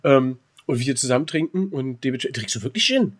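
A man's voice: mid-range voice, expressive, fast. A man co-hosting a podcast in casual comedic chat, with a teasing, bantering way of talking. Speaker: podcast co-host.

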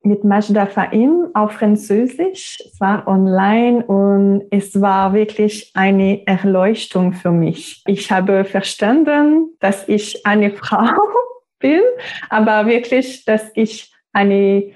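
A woman in her thirties with a Québécois French accent speaks slowly and with a soft, mellow tone. She is a native French speaker and often pauses and restarts to find her words as an interview guest. Her delivery stays unhurried throughout. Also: medium pitch. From Quebec, Canada. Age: 30s. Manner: hesitant, searching for words with restarts. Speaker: yoga teacher, guest. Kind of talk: interview conversation.

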